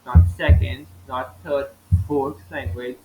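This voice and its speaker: A man's voice: medium pitch, fairly even intonation, slow, phone-quality sound, soft background music. A man born in Italy narrating a casual geography video over maps, rambling and leaning heavily on "you know" with frequent grammar slips.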